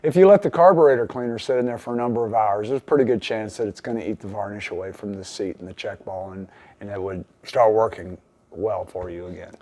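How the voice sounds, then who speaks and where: A man's voice: fairly low pitch, quick pace, a little mellow. A man giving a hands-on tutorial in a plainspoken, methodical way with folksy phrasing. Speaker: instructor, repair video host.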